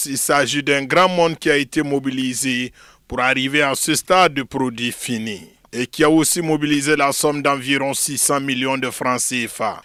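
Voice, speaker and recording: A man presenting and narrating a television news report in a measured, formal read. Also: on the high side, sharp tone, clean tone, dry recording.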